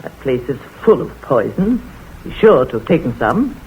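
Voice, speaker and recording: An actress playing a nosy, know-it-all village spinster who talks brisk and bossy, in a 1940s radio play. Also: female voice, rumbling low, sing-song, slight background noise, exaggerated voice. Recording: tinny old radio broadcast.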